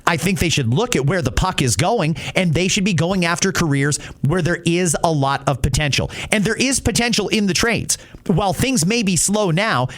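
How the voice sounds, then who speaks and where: High-pitched, animated Canadian male podcast host. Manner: emphatic, outspoken. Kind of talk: opinionated commentary and reading listener messages.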